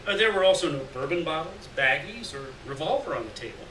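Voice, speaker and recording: A man's voice: a little high, lively intonation, a little fast, bright, forceful tone. A middle-aged man reading a short story aloud at a live event, expressively and acting out the dialogue. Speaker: reader at a live literary event. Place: small indoor gallery, live event. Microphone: gooseneck mic at lectern, far camera. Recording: roomy, distant mic, faint background noise.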